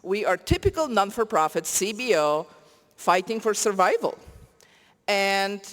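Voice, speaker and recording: A woman with a Polish accent gives an acceptance speech in a large conference hall, her voice carrying a long hall reverb. She talks emotionally and haltingly, stopping to search for words in her second language. Her pitch sits in an ordinary middle range. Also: expressive, a bit bright. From Poland. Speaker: award recipient.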